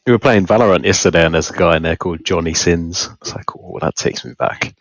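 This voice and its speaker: A man's voice: low-pitched, quick pace, no room sound. A man with dry teasing humor, chatting on a gaming voice call. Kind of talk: casual gaming voice chat.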